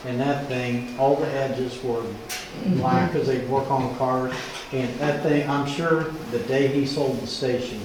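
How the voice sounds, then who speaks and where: A man's voice: mid-range voice, fairly even intonation, a little slow. A man in an audience casually reminiscing and sharing his memories. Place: small meeting hall, indoors. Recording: very echoey, noisy room.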